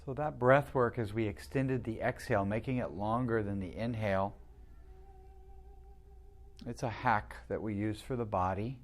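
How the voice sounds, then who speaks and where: A man with a fairly low voice leads a guided meditation. He speaks soothingly and without hurry, leaving long pauses between his instructions like a practised teacher.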